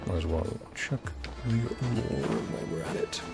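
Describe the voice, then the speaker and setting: A man's voice: fairly low pitch, measured pace, gravelly. A man giving a casual, rambling game playthrough commentary, musing as he goes and easily amused. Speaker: gaming content creator. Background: game music.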